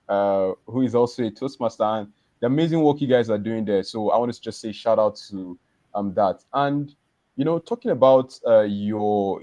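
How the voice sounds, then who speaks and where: A man's voice: lowish voice, warm tone, no echo. A man hosting a live business podcast, talking loosely with many restarts and repeated words. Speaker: podcast host.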